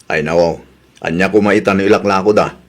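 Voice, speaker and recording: A man's voice: low-pitched, steady tone, brisk. A man playing a sceptical, grumbling husband in an Ilocano comedy radio drama, speaking with an Ilocano Filipino accent. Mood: doubtful, resigned.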